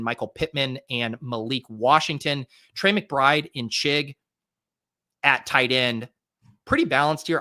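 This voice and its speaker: A man's voice: mid-range voice, bright, forceful tone. A man with a nasal voice hosting a live stream, chatting casually and thinking aloud while he drafts fantasy football players. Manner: rapid, chatty thinking aloud with many fillers.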